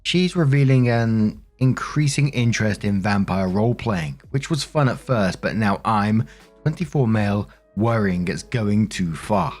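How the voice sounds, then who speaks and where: A man's voice: fairly low pitch, expressive, measured pace, faint music behind. A man reading online stories aloud in a chatty, conversational way, with casual commentary as the host.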